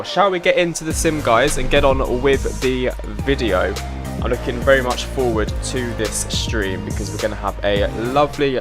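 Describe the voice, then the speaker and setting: A man hosts a relaxed live stream, chatting away and greeting viewers one by one while he tinkers with his gear. His voice sits at a middling pitch and sounds a little stuffy from a slight cough. Faint music plays underneath. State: slightly congested, a bit of a cough. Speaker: flight sim streamer. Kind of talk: casual live-stream chat.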